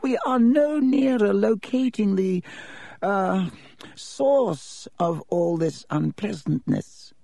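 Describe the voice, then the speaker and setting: A feeble, quavering old wizard. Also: male voice, high-pitched, animated, gravelly, mellow, no echo, clean studio sound, voice pushed up, exaggerated voice. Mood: grave. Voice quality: thin, quavering. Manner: slow, fussy and kindly. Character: frail, feeble old male wizard headmaster. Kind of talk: audiobook character voice.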